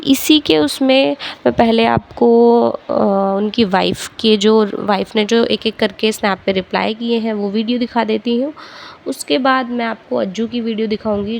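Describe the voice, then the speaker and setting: A woman vlogger giving casual gossip commentary, pausing hesitantly mid-sentence as she explains. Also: on the high side, creaky, a little hiss or hum.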